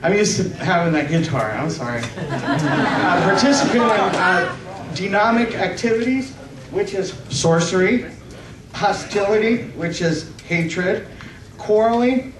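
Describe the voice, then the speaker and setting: A middle-aged man preaching and reading scripture in a halting, slightly nervous way, often correcting himself and asking for amens. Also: high-pitched. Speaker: preacher at a church conference. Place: church hall stage, indoors. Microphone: stand microphone, picked up by far camera. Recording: roomy, distant microphone with faint noise.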